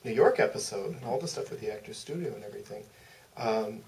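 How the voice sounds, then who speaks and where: A man's voice: on the low side, quick pace, darkish tone. A man moderating a Q&A, thinking aloud in long, hesitant, meandering sentences. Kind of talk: thoughtful, loosely phrased interview questions. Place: theater stage at a public Q&A. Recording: distant mic, faint room noise.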